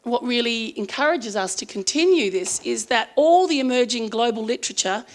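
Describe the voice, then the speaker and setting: A woman's voice: fairly high pitch, animated, moderate pace. Australian woman speaker, voice strained. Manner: chatty, frequent ums, earnest. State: strained voice from singing. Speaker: conference speaker. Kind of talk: conference presentation.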